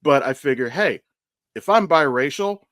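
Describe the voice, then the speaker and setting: A man's voice: a little high, lively intonation, moderate pace, a bit bright, no room sound, clean studio sound. An American man in his thirties from Ohio hosting a casual podcast, talking candidly with rambling stories and plenty of profanity. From Ohio, United States. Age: 30s. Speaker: podcast host. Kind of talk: casual conversational podcast chat.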